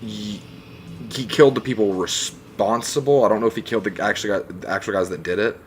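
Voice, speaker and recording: A man's voice: lowish voice, fairly quick, low-quality recording. A man co-hosting a podcast, chatting casually and emphatically like an informal fan. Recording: faint background room noise.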